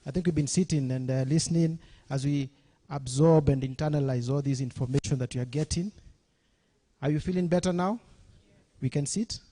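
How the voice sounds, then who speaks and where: A man's voice: on the high side, brisk, mellow, slightly rough. A man with a Kenyan accent emceeing a launch event, chatty and engaging the audience. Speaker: master of ceremonies. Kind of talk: event hosting.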